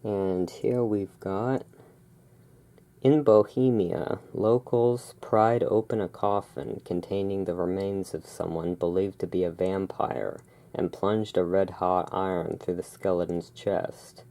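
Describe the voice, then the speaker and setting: A host reading aloud in a relaxed ASMR style, with informal, self-deprecating asides and rain and thunder behind. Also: low-pitched, slow. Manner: informal, self-deprecating, stumbles over foreign words. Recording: clear background noise of rain and thunderstorm. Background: rain and thunderstorm ambience.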